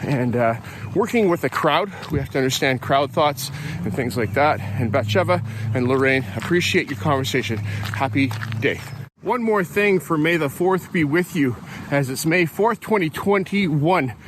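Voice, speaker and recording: Canadian man, middle-aged, somewhat high voice, chatty walking reflection, light outdoor noise. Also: animated, moderate pace. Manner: rambling, with many fillers and restarts. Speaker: podcast host. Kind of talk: casual spoken reflection. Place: outdoors on an early morning walk.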